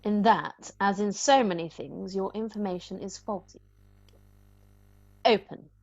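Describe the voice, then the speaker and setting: A woman playing a brisk, unflappable, firm yet playful nanny, crisp and commanding, in a musical play read-through. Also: mid-range voice, a little mellow, highs cut off. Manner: crisp, commanding, matter-of-fact.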